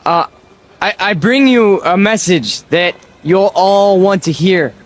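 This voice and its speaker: An actor playing a young man ranting on a street corner, stammering nervously and then preaching with slow, heavy emphasis. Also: sits high. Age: young adult. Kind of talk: radio drama acting.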